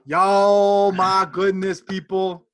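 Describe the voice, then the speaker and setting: Male co-host, 40s, notably high voice, emphatic slangy delivery for an informal fan review show. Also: flat delivery, extremely slow. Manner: slangy, emphatic, steers the conversation. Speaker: podcast co-host. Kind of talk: casual fan chat, reviewing and reacting.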